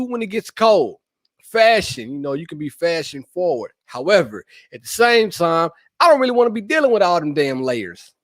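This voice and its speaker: A man's voice: sits high, no echo, clean studio sound. A young man hosting a podcast with his wife, joking and hyping in playful slangy banter. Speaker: podcast host. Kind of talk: casual chat with spouse.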